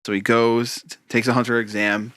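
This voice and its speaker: Bright, sharp-toned male podcast co-host, animated explainer with dry asides, no room echo. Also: medium pitch, normal speed, clean tone. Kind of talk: casual chat.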